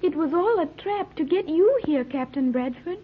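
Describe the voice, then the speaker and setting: A woman's voice: very high voice, expressive, normal speed. A woman playing a naive, earnest island-raised girl in an old-time radio serial, speaking in precise, stilted, formal sentences. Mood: grave, explaining. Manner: stilted, precise, formal diction without slang. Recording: tinny, band-limited old radio recording.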